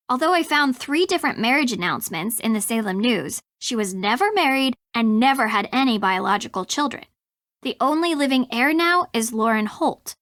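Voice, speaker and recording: A brisk young woman investigator in a game. Her voice is bright and forward, somewhat high, and she explains her theories plainly. The recording is dry and close. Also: no room sound. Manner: matter-of-fact, explanatory. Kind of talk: video game voice acting, explanatory dialogue.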